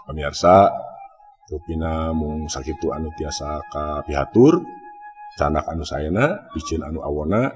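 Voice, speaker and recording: A man's voice: extremely low pitch, unhurried. A man with a Sundanese accent, dramatically narrating a mystery folk tale as a storyteller. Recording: long reverb, music behind.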